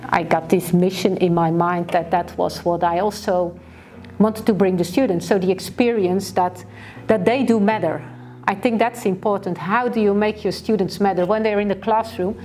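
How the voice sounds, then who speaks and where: Belgian woman professor, warm mellow voice, hesitant and thoughtful, light music under. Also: medium pitch. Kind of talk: documentary interview.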